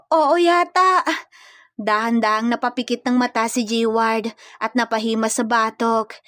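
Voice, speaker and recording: A woman's voice: a little high, no room sound, studio quality. A woman storyteller reading scripted romance fiction in a dramatic narrative style.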